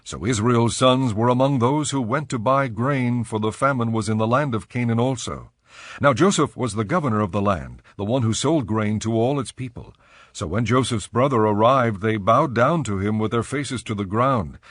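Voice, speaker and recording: A man narrating scripture from a script in a measured, formal way. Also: medium pitch, no echo, studio quality.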